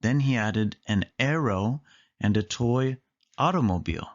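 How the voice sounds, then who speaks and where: A man reads a children's story slowly, with lively swings in pitch. He stresses key words emphatically, and the recording is a little dull. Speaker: storybook reader. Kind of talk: children's read-aloud narration.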